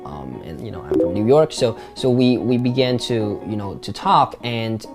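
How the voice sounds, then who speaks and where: Man recounting over loud guitar music. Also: fairly low pitch, expressive, normal speed. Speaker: interviewee telling his story. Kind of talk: casual personal account.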